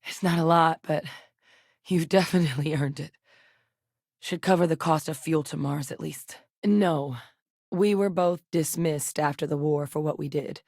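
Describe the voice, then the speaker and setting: An actress playing a composed, frank military scientist in a space video game, speaking in a measured, articulate way. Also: female voice, deep voice, darkish tone, dry recording, clean studio sound. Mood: composed, serious. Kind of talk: acted video game dialogue.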